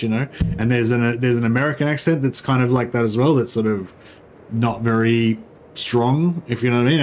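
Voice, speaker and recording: Aussie guy rambling on his game stream, middle pitch, chatty. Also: a little mellow, slight background noise. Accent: Australian. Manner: rambling, chatty, self-correcting. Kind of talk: casual gameplay commentary.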